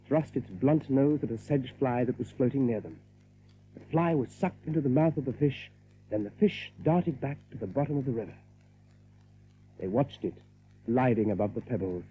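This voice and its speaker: A man's voice: mid-range voice, dark tone, a little hiss or hum. A man reading scripted story narration as the narrator of an audiobook drama. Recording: narrow, phone-like audiobook recording.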